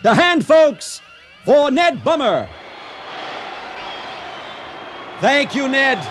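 A man's voice: very high voice, very animated, slow, noisy background, heavily acted. A man acting as a theatrical, showman-like narrator of a science fiction radio drama. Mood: rousing, showman. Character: folksy, theatrical storyteller presenting a space tale.

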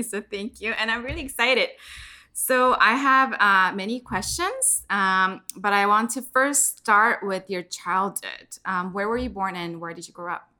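A woman's voice: medium pitch, pushed and bright, no room sound. A woman hosting an interview podcast, introducing her guest and asking questions.

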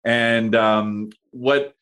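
A man's voice: mid-range voice, fairly even intonation, fairly bright tone, clean tone. Casual man, hesitant and rambling. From Canada. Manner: halting, many fillers and restarts. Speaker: podcast guest, entrepreneur. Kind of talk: casual conversation.

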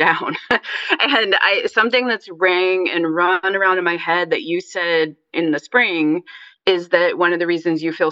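A woman with a bright, forward voice speaks candidly and earnestly, confiding in a group. The sound is dry and somewhat dull, with the top end missing. Her sharing is informal and personal. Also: fairly low pitch, no room sound. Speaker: member of a meditation group.

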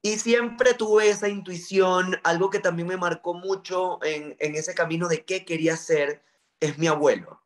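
A man's voice: sits high, steady tone, unhurried. A man from Venezuela with a Maracaibo accent, a journalist telling his life story in an interview, earnest and reflective with frequent fillers. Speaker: journalist guest.

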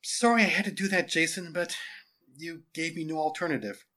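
A man voicing a kind but firm female schoolteacher, measured and sincere, in an audiobook story. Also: fairly high pitch, sharp tone, voice pushed up. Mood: sincere, apologetic.

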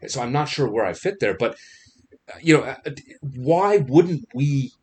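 A man's voice: medium pitch, a little slow. A man hosting a podcast, talking casually and telling stories with lots of restarts and "you know". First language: not French. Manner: animated storyteller, frequent restarts and "you know". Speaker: podcast host. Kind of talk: casual conversation.

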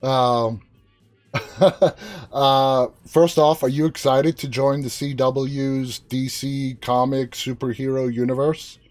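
A man's voice: medium pitch, little pitch movement, slow. A man hosting a live interview, asking questions in a casual, conversational style. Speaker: interview host.

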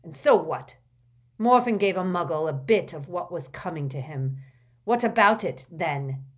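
A woman's voice: lowish voice, lively intonation, a little slow. A woman voicing a raging, contemptuous middle-aged wizard father who bellows and jeers in an audiobook. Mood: defiant snapping. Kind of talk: dramatised audiobook character voice.

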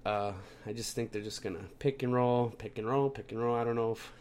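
A man's voice: mid-range voice, fairly even intonation, brisk, a little mellow, slight background noise. A young man hosting a casual sports podcast, sounding plugged up from a cold and rambling with lots of fillers and restarts. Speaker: podcast host.

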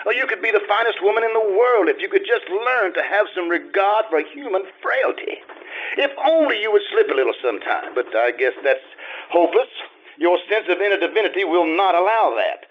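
A man's voice: high-pitched, animated, a little fast. A man acting a sardonic, urbane society ex-husband who teases and needles in an old-time radio play. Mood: earnest reproach. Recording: slightly dull band-limited stage recording.

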